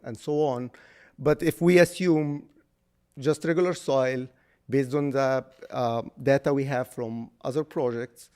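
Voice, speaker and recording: A man's voice: mid-range voice, clear, resonant tone. Man explaining vibration data at a hearing. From Egypt. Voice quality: loud voice. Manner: explanatory, with frequent restarts and fillers. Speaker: engineering consultant. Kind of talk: public hearing testimony.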